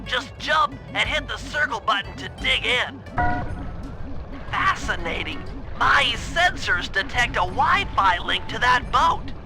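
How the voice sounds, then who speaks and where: A fussy young turtle brainbox, pitched extremely high. He talks in a precise, bookish way, briefing like a cartoon game gadget expert, with music clearly behind him. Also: big pitch swings, slow pace, full character voice. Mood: brisk, instructive.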